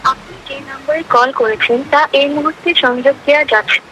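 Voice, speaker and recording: Bright, high female phone announcer. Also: fairly quick, soft background music, low-quality recording. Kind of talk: recorded automated announcement. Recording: recorded phone message, faint noise.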